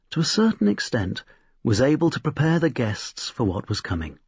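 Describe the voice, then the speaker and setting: A man narrates a short story with lively, varied intonation. His voice is very husky and mid-pitched. The recording is slightly muffled. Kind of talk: expressive scripted storytelling. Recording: roomy, slightly dull recording.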